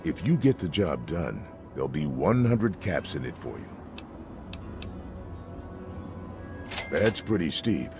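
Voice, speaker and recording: A steady frontier lawman in a video game. His voice is extremely low, with a folksy drawl. He talks plainly, like someone giving a caution, with clear music behind him. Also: moderate pace, full character voice. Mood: grudging. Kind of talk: video game character dialogue.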